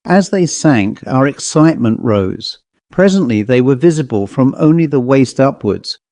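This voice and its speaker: A man narrating a Victorian ghost story as a scripted audiobook, in a measured, dramatic storytelling manner. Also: medium pitch, lively intonation, darkish tone, no echo.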